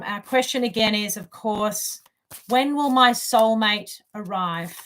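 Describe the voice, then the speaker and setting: A woman's voice: medium pitch, fairly bright tone, a little hiss or hum. A middle-aged woman with an Australian accent giving informal spiritual guidance to camera as a tarot reader, stressing her points with repetition. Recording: distant microphone.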